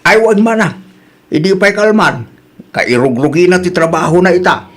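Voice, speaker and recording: A man's voice: high voice, expressive, moderate pace. A man acting a genial middle-aged uncle in a radio comedy drama, with an Ilocano accent and a folksy manner. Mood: cheerful. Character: genial older Ilocano uncle and father, fond of matchmaking.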